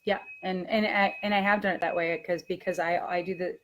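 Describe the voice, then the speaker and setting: A woman's voice: lowish voice, fairly even intonation, a little fast, fairly bright tone, low-quality recording. A woman speaking as a language teacher and presenter in a conversational training webinar, with a matter-of-fact, instructive manner.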